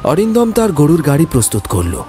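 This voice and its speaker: A man's voice: on the high side, wildly swooping pitch, brisk. A man narrating a scripted horror story in a dramatic, suspenseful, theatrical way. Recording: loud music under the voice.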